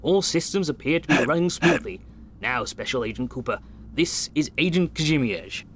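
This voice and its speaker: A sci-fi game doctor with a slightly raised voice, brisk and formal. He instructs in an encouraging way over noticeable background ambience. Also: fairly high pitch, lively intonation, fairly bright tone, a little hiss or hum. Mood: brisk, instructive. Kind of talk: video game tutorial dialogue acting.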